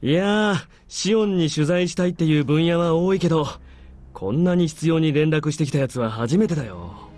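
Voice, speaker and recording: An actor playing a blunt, unsentimental army major in a visual novel, wryly dismissive. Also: male voice, a little high, expressive, racing, a little mellow, natural voice. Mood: wry amusement. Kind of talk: Japanese visual novel voice acting. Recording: game music under the voice.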